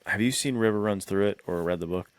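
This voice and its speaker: A man's voice: lowish voice, fast, clean tone. A man talking casually about films as a podcast co-host, laid-back and enthusiastic, with plenty of slang. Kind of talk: casual film discussion chat.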